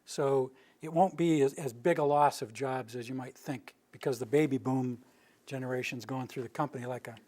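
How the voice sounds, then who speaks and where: An older man near retirement, from the Rocky Mountain region, giving an informal public presentation in a meeting room with a steady, monotone delivery. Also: medium pitch, moderate pace. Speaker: utility planning director giving a public presentation. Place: meeting room with a live audience.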